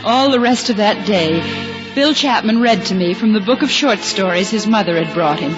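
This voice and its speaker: A muffled vintage radio recording, with music around, carries an actress as an anxious young American woman, a patient who has lost her sight. She speaks hesitantly and earnestly in the manner of a 1950s radio play, at a medium pitch. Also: lively intonation, normal speed, close to an everyday voice. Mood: reflective narration. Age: young adult. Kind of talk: 1950s radio drama acting and first-person narration.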